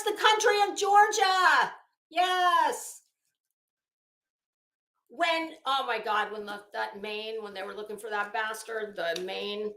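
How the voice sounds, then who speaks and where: Sarcastic, slow-paced woman in an echoey room. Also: a little high, a bit bright. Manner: sarcastic, mocking, exasperated. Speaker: livestream host. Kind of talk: casual commentary on a legal case, chatting with viewers.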